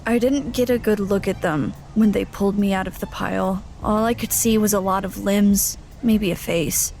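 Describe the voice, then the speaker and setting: A woman's voice: mid-range voice, steady tone, darkish tone, a little hiss or hum, close to an everyday voice. Wary small human traveler played by a woman. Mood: uneasy. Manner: hesitant, questioning in dialogue, measured when narrating. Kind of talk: audio drama first-person narration and dialogue.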